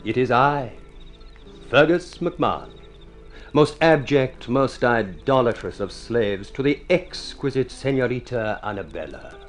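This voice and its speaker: A man's voice: mid-range voice, lively intonation, a little slow, close to an everyday voice. An actor playing an eloquent, sardonic man who woos in grandiloquent, mock-poetic speech in a vintage radio drama. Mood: florid, ardent. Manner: florid, grandiloquent, theatrical. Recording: old radio drama recording with music.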